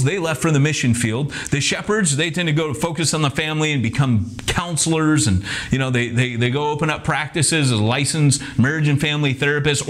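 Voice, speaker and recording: Emphatic preaching man. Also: mid-range voice. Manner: emphatic, building lists with rhetorical flourishes. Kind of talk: preaching-style teaching talk.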